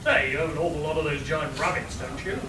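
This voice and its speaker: Man as high-voiced pompous hero, very noisy recording. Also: steady tone, quick pace, close to an everyday voice. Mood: scornful, triumphant. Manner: pompous, declamatory. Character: pompous, self-assured heroic male monster-slaying adventurer. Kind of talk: live comic radio play acting. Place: live performance at a convention, distant mic. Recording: very noisy, echoey, distant live recording.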